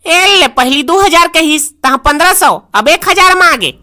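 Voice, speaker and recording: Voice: very high voice, moderate pace, sharp tone, slight background noise, heavily acted. A performer with a Chhattisgarhi accent voicing a sassy, insistent young bridesmaid who haggles in a comic cartoon. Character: sassy young bridesmaid haggling stubbornly over shoe money.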